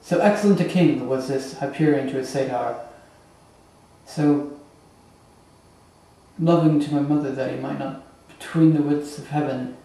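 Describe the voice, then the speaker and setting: A man acting a grieving, bitter young prince in a theatrical Shakespearean monologue, with halting, emphatic delivery and dramatic pauses. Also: mid-range voice, steady tone, creaky, mellow, lightly acted. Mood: bitter grief. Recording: echoey room, distant microphone.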